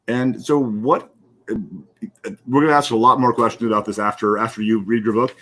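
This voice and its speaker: An American man hosting a casual live interview, talking haltingly and often reworking his phrases. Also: medium pitch, flat delivery, fairly bright tone. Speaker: host.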